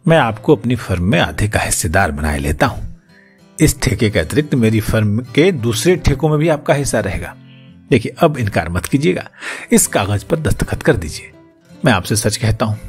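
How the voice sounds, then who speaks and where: A man voicing a pushy, flattering, greedy rich businessman in an audiobook, insistent and wheedling. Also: medium pitch, moderate pace, soft background music. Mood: coaxing, flattering. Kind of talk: audiobook character acting.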